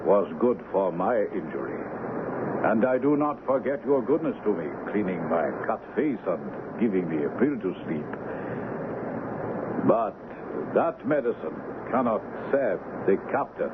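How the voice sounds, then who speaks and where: Radio drama sailor: sly, ominous Haitian, low voice with huge melodic swings, thin noisy broadcast sound. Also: male voice, big pitch swings. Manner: ominous, formal, deliberate phrasing with sly laughs. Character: menacing Haitian sailor who believes in curses. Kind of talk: 1970s radio drama acting. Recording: narrow phone-like old radio broadcast, loud noise.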